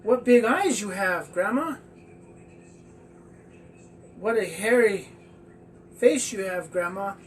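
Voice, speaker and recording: A man's voice: high voice, expressive, slow, long pauses. A man voicing an innocent, polite little girl in a storybook fairy tale. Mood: puzzled. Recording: distant mic with faint background noise.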